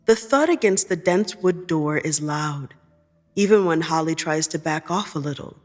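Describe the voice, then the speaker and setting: A woman narrating scripted second-person fiction in a measured, deliberate storytelling manner. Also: deep voice, expressive, faint music behind.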